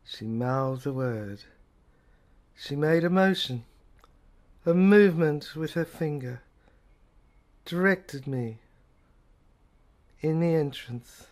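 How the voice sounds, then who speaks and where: A woman narrating an audio play in an intimate, hushed way, halting phrase by phrase. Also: low voice, animated.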